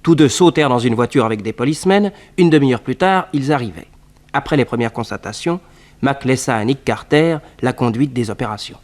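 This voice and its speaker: A man's voice: medium pitch, lively intonation, a little fast, darkish tone, lightly acted. A man delivering scripted narration for a 1960s French detective radio serial.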